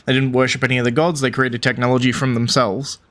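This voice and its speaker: A male game streamer with a mid-pitched voice gives casual commentary. He muses and thinks aloud in an informal, conversational way. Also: flat delivery, quick pace, a bit bright. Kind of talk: casual let's play commentary.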